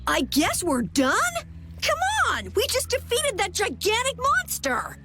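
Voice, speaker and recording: A woman's voice: extremely high pitch, wildly swooping pitch, a little slow, music in the background, heavily acted. An actress playing an eager, easily rattled young boy adventurer in a video game. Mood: excited. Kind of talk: animated video game acting.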